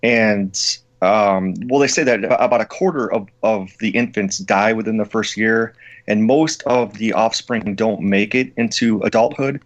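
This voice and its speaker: A man with a slightly low voice asks questions in a hesitant, speculative way, pausing on fillers as he goes. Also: dull audio. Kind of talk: casual interview questions.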